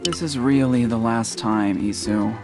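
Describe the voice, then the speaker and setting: A man's voice: medium pitch, unhurried, over music, close to an everyday voice. A man voicing a serious, curt young fighter in an anime game, stern in his delivery. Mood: stern, dismissive.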